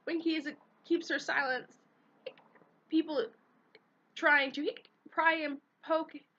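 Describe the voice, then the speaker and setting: A woman's voice: high voice, fairly even intonation, a little slow, sharp tone, muffled recording, pitched above the performer's own voice, full character voice. A woman reading aloud, voicing a drunk, tearful female house elf who hiccups through broken grammar. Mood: indignant drunk.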